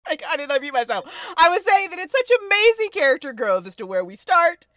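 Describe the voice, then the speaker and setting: Female live-chat host: high, very lively intonation, effusive, casual, dry close sound. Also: quick pace, no room sound. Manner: effusive, excitable.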